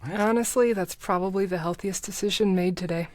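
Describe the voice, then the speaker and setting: A woman's voice: on the low side, fairly quick, a little mellow, no room sound, lightly acted. A woman playing an exasperated, sarcastic seventeen-year-old camp counselor with dry comic timing in an audio sketch. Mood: dry, decisive. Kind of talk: comedic audio sketch acting.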